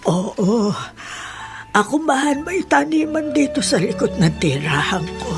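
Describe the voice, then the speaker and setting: An actress playing a gentle, resigned older aunt in a Filipino radio drama, speaking in a halting, plaintive way. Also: female voice, high voice, very animated, music in the background, exaggerated voice. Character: older aunt, cured former leprosy patient, gentle and resigned.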